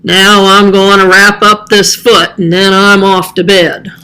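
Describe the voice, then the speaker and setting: Lady doing a kind old storybook guy, slow n patient, bit mumbly, resigned. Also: medium pitch, level tone, pushed and bright, clean tone, low-quality recording. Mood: reassuring. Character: gentle, resigned, soothing old man. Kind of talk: children's storybook character voice.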